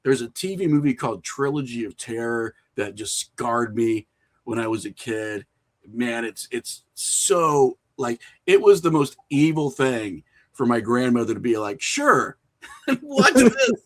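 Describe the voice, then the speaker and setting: A middle-aged man with a mid-range voice talks casually as a guest on a podcast. He tells stories in an animated way and slips into quoted remarks as he goes. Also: medium pitch, a little slow. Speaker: podcast guest, filmmaker and horror creator.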